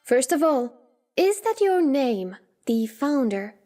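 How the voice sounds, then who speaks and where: A woman's voice: high voice, big pitch swings, slow, dark tone, faint music behind, exaggerated voice. A woman playing a polished TV talk show host in a scripted audio drama. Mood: presenting.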